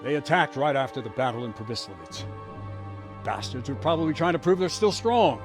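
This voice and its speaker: An actor playing a blunt guard captain in a video game cutscene, briskly reporting news. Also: male voice, mid-range voice, lively intonation, over music, natural voice. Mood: grim.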